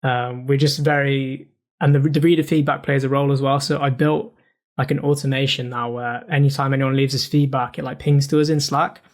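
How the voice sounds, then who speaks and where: A man explains his newsletter editing work in a relaxed, casual way, peppering his talk with "like" and "um". His pitch stays quite flat and even throughout. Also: brisk. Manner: relaxed, many fillers like "like" and "um". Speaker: newsletter editor.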